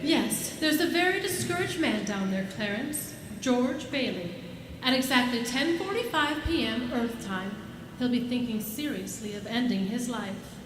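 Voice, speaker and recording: A woman playing a brisk, kindly senior angel in a live radio play, explaining things with authority. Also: a little high, lively intonation. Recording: echoey room with clear background noise.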